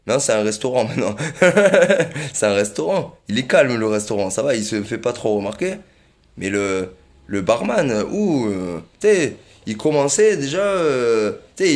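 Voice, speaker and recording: A man rambling in a casual monologue, repeating himself often, with many fillers and little chuckles. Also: medium pitch.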